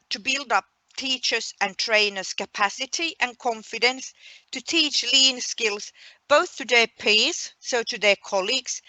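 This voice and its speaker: A woman presenting a webinar as its host and coordinator, speaking in a deliberate, explanatory way. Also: fairly high pitch, pushed and bright, no room sound, poor audio. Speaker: project coordinator hosting a webinar.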